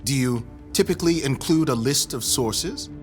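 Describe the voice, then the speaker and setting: A man's voice: mid-range voice, moderate pace, faint music behind, close to an everyday voice. A man playing a stern, pompous inquisitor at an academic hearing in an audio drama. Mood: formal, probing. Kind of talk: formal audio drama interrogation.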